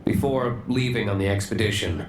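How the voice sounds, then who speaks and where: A man playing an aloof, reserved young tavern owner in a video game, dry and deadpan. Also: on the low side, moderate pace, echoey room, slight background noise, close to an everyday voice. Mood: reluctant, flat.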